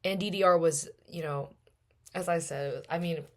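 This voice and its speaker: A woman in her twenties talks through a relaxed solo podcast, her voice hoarse and worn out. She sits low in her register and rambles from one point to the next, filling the gaps with easy little fillers. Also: normal speed. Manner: rambling, chatty, many fillers. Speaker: podcast host. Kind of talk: casual solo podcast chat.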